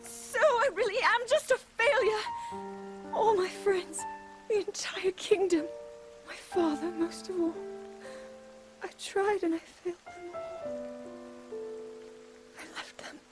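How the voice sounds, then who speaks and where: A young woman acting an earnest, desperate yet resolute princess in a video game cutscene, with an emotive, halting delivery. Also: very high voice, over music. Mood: grief, despair.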